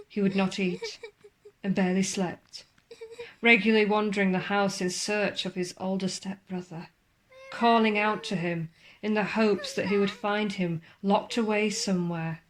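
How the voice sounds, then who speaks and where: A woman narrates a ghost story in a theatrical, suspenseful manner, with deliberate pauses and formal, old-fashioned wording. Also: mid-range voice, low-quality recording. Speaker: ghost walk guide and storyteller. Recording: clear background ambience under the voice. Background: spooky horror ambience sounds.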